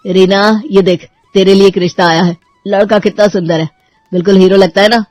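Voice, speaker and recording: A woman's voice: mid-range voice, steady tone, brisk, darkish tone, no room sound, faint music behind, close to an everyday voice. Woman voicing a dutiful, exasperated young brother. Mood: persuading. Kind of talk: Hindi cartoon story voice acting.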